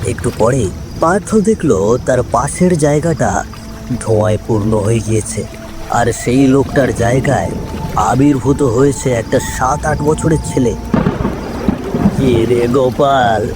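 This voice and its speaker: A man narrating a scripted ghost story in a dramatic storytelling style. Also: medium pitch.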